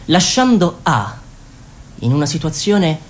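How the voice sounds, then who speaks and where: A wary party insider in a radio play. Her fairly low voice moves in very lively, halting phrases as she analyses aloud. Faint noise sits behind her. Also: full character voice. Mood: wary, anxious. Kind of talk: Italian radio theatre, confiding narration.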